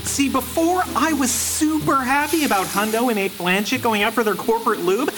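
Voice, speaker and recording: A woman's voice: fairly high pitch, normal speed, close to an everyday voice. A woman voicing an anxious, people-pleasing robot boss in an audio comedy, speaking in an earnest, flustered, overwrought way. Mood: worried. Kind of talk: audio comedy acting, mock-documentary. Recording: music playing behind the voice. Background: music.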